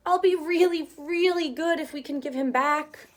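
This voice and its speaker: A woman's voice: high-pitched, normal speed, pitched above the performer's own voice, close to an everyday voice. A woman voicing a young boy raccoon, a jealous big brother who pleads plaintively in a storybook. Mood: pleading.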